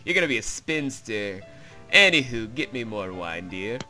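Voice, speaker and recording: Man acting a smug, bright-voiced old-time husband. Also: lowish voice, very animated, normal speed, soft background music, heavily acted. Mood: smug, dismissive. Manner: condescending, mocking. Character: smug, dismissive husband of olden-time France.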